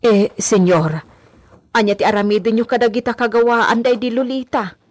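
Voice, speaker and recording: An actress with an Ilocano accent playing a gossipy, respectful and easily startled housemaid in a radio drama, full of asides and exclamations. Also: female voice, on the high side, normal speed, no echo, lightly acted. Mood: curious, muttering.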